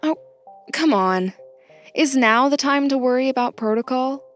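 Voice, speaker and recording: A woman's voice: sits high, lively intonation, a little slow, soft background music. A woman playing a curious, wary young newcomer in an audio drama, conversational and inquisitive as she explores. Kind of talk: audio fiction podcast acting.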